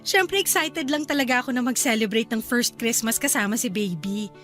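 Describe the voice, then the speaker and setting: A woman playing a cheerful young wife and new mother in a radio drama, talking in a bright, chatty and eager way. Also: on the high side, moderate pace, soft background music, lightly acted.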